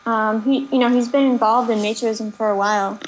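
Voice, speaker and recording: A woman's voice: a little high, fairly even intonation, a little fast, a little hiss or hum, low-quality recording. A woman in a casual podcast conversation, speaking hesitantly with many fillers.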